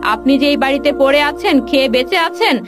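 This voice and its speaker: A woman's voice: sits high, lively intonation, a little fast, over music. A woman voicing a harsh, domineering daughter-in-law in a cartoon, with a scolding, scornful manner. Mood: scornful, accusing. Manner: scolding, sharp-tongued.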